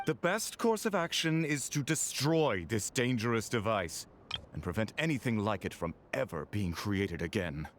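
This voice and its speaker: An actor playing a stern, devout man who speaks formally and gravely in a video game. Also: lowish voice, expressive, normal speed, background noise, lightly acted. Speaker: solemn moon-faith guardian.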